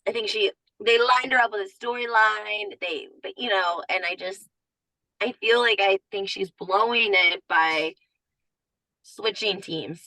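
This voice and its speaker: A woman's voice: medium pitch, a little slow, bright, forceful tone, no room sound, low-quality recording. A woman chatting casually, giving blunt opinions and saying "like" a lot, with a Southern accent that comes out at times. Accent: Southern US, surfaces at times. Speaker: guest commentator. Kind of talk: casual chat.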